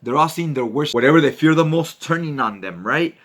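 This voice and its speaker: A man's voice: a little high, lively intonation, fairly quick. Informal man retelling a comic book. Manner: informal, slangy, conversational. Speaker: host, comic book narrator. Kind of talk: casual storytelling commentary on a comic.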